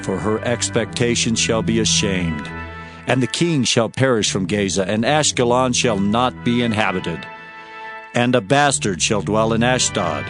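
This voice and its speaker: A man narrating scripture dramatically in a solemn, declamatory manner. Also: on the low side. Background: music.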